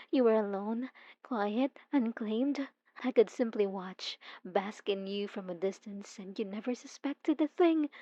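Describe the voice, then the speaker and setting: A young woman playing an obsessive, possessive stalker student in an intimate ASMR audio roleplay, cooing softly and dramatically with sweet menace. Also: on the high side, natural voice. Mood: intimate, teasing. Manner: soft, intimate, cooing, dramatic, drops into whispers. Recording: dull lo-fi sound with roomy echo.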